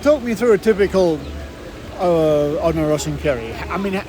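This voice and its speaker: A man's voice: on the high side, lively intonation, moderate pace, background noise. An Australian man hosting a podcast, chatting casually as he interviews a guest.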